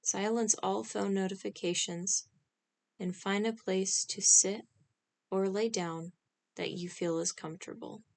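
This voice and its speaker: Slow, creaky-voiced woman guiding meditation. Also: mid-range voice. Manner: calm, instructional, with short spaced phrases. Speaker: meditation guide. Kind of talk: scripted guided meditation.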